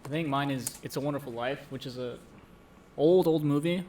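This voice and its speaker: A man's voice: a little high, fairly quick, dark tone, a little hiss or hum. A man co-hosting a live-streamed chat show, earnestly retelling a film plot in a casual way with many fillers. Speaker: co-host. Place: home streaming setup.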